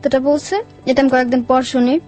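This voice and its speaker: High-voiced young Bangladeshi woman telling her story. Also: fairly even intonation, brisk, mellow, soft background music. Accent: Bangladeshi Bengali. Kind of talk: personal storytelling in an interview.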